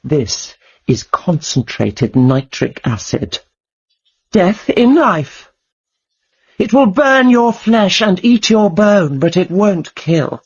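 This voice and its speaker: A woman playing a resolute, coldly menacing avenger in a radio drama, speaking deliberately. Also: on the low side, big pitch swings, breathy edge, highs cut off, exaggerated voice. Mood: cold menace.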